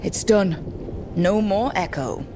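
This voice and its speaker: An actress playing a wry, defiant adventurer heroine in a video game, with a dry, determined manner. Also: female voice, mid-range voice, expressive, slow pace, background noise. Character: wry, defiant young female adventurer hero. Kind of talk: dramatic video game cutscene acting. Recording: clear music behind the voice.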